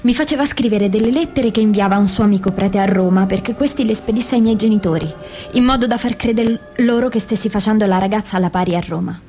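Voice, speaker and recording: An actress playing a female abuse survivor, giving grave testimony in measured phrases with deliberate pauses, as in radio theatre. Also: medium pitch, warm tone, faint music behind, lightly acted. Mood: grave, sorrowful.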